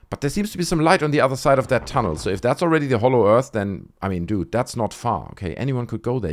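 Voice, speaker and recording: A man with a fairly low voice hosts an online video in a casual commentary style. His intonation swings widely and expressively. He talks conversationally, often checking in with short tag questions. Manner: conversational, frequent tag questions like "okay" and "right". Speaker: YouTube host.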